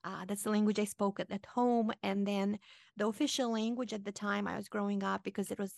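A woman from Moldova with a Romanian accent, a radio guest and author explaining ideas clearly with frequent fillers. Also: mid-range voice, steady tone, brisk, darkish tone, no room sound, studio quality. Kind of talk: radio interview.

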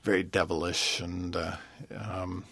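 A man, a concert pianist, answering interview questions thoughtfully and pausing often to find his words. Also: low-pitched, level tone, a bit bright, breathy edge. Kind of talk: relaxed radio interview.

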